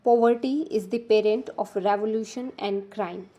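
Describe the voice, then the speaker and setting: Woman reading study notes, mellow voice. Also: medium pitch, fairly even intonation, moderate pace. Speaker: educational presenter. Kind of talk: scripted quotation revision narration.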